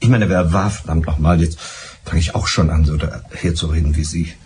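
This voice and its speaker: An actor in a German radio drama playing a blunt, exasperated police inspector who questions in a curt, impatient way. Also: male voice, rumbling low, steady tone, fairly quick, darkish tone, slight background noise. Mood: irritated, official.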